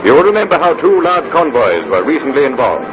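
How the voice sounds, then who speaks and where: A man's voice: a little high, sing-song, fairly quick, noisy background. A British man delivering scripted wartime newsreel commentary in a formal, declamatory manner. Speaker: newsreel narrator. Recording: muffled vintage 1940s newsreel soundtrack. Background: loud music.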